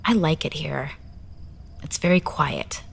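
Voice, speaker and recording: Woman playing a pensive young noblewoman, low husky voice. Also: lively intonation, slow pace, full character voice. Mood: curious. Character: troubled, inquisitive young noblewoman, grieving daughter. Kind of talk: audio drama acting.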